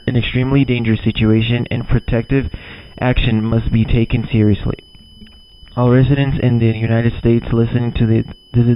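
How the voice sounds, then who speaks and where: A dull, lo-fi recording of a man acting as a male emergency alert announcer. He has a mid-pitched voice and reads a scripted warning in a grave, formal, deliberate manner. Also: a little hiss or hum, natural voice. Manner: formal, deliberate, occasional stumbles over words. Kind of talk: scripted emergency broadcast reading. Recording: dull lo-fi audio.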